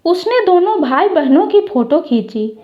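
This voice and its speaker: A woman's voice: very high voice, sing-song, a little slow, highs cut off. A woman narrating a scripted emotional story as a storyteller.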